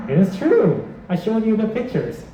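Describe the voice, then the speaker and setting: A man's voice: sits high, wildly swooping pitch, moderate pace, heavy background noise. A man in his twenties from South Korea, giving a chatty classroom presentation and running a quiz, with frequent fillers and restarts. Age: 20s. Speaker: exchange student presenting a quiz. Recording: roomy, slightly muffled classroom audio.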